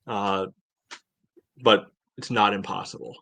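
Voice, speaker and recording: A man co-hosting a sports podcast, reasoning aloud in a measured, hedging, conversational way. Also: fairly low pitch, fairly even intonation, bright, forceful tone, dry recording. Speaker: podcast co-host. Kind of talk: casual sports discussion.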